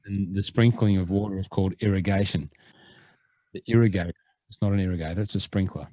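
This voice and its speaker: A man's voice: deep voice, fairly even intonation, fast, dark tone, dry recording. A man co-hosting a golf rules podcast, chatting casually in a jokey, rambling way. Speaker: podcast co-host.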